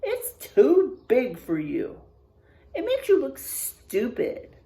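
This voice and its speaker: A woman voicing a bossy older sister who teases her younger brother loudly in a storybook read-aloud. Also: sits high, huge pitch swings, slow, voice pushed up, full character voice. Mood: taunting.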